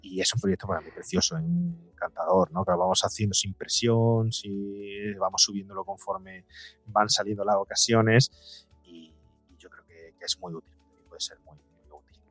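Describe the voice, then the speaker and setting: A man's voice: lowish voice, moderate pace, fairly bright tone, dry recording, faint music behind. Middle-aged man from Spain, close dry sound. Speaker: podcast guest, psychologist and podcaster. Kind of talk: conversational interview answers.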